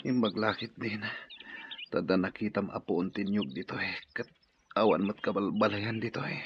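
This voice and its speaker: A man's voice: on the low side, moderate pace, lightly acted. A man with an Ilocano accent playing a plain-spoken island man in a radio drama, on a noisy lo-fi recording. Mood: weary, searching. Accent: Filipino, Ilocano. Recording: muffled, noisy lo-fi.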